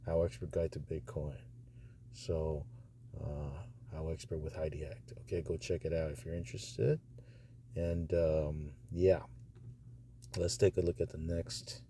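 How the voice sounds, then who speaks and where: A man's voice: a bass voice, fairly even intonation, measured pace, warm tone. A man giving casual commentary over a screen recording, thinking aloud in a hesitant mutter. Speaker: audiobook publisher reviewing narrator auditions. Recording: noisy phone screen recording.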